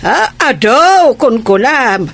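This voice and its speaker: Woman acting a slow-talking, worried village mother. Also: sits high, very animated, vocal fry, heavily acted. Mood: hopeful. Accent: Ilocano. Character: worried, chiding village mother of grown sons. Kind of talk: Ilocano radio comedy drama acting.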